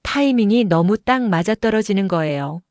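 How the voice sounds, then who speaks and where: A woman's voice: medium pitch, moderate pace, no room sound. Woman narrating an audio drama. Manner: steady storytelling narration.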